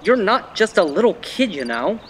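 Man playing a lively, high-voiced big brother. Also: normal speed, a little hiss or hum. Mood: angry, defensive. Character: bossy, defensive older brother, a youngster. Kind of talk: audio drama acting. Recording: faint outdoor night ambience, crickets.

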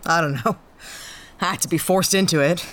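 A woman's voice: fairly low pitch, a little fast, a bit bright, lightly acted. A woman playing a worn-out ex-cop, candid and rueful. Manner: candid, rueful, self-deprecating. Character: weary, disillusioned former cop turned freelancer. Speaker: lead character. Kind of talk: audio drama acting.

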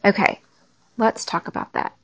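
A woman hosting a faith-based talk, speaking conversationally to her listeners with a raspy early-morning voice. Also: normal speed, dry recording. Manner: warm conversational, addresses listeners directly. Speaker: podcast host. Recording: dull lo-fi recording.

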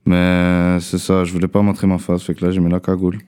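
A young man is answering questions in a relaxed interview. His is Arabic. He speaks haltingly, restarts his phrases and tags sentences with a loose "là". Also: deep voice, smooth. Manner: halting, repeats words, trails off with "là". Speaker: guest rapper. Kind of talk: casual interview chat.

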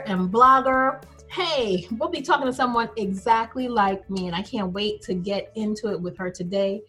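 Bright, upbeat woman podcast host. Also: fairly high pitch. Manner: upbeat, chatty, laughs easily. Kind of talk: casual interview hosting.

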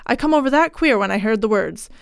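A woman's voice: a little high, animated, quick pace, vocal fry, clear, resonant tone, no echo, studio quality. A woman voicing an agitated, gossipy country housekeeper in a storybook reading, with rustic turns of phrase and exclamations. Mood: shocked, gossipy. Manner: rustic dialect, breathless exclamations.

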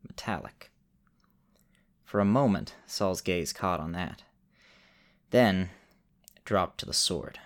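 A man narrating a scripted fantasy radio drama in deliberate, short phrases with dramatic pauses. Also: sits low, expressive.

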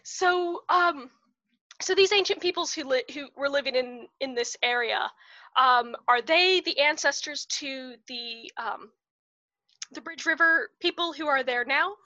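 Brief: woman podcast host, chatty and giggly, high bright voice, dry close sound. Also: a little slow, dry recording, dull audio. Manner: chatty, laughs often, many fillers. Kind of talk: casual interview chat.

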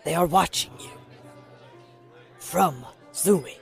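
A man playing a cryptic, wary bartender in an audio drama, speaking in a deliberate, conspiratorial way. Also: fairly high pitch, vocal fry. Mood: cryptic warning. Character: cryptic, wary male bartender giving secret warnings. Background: music.

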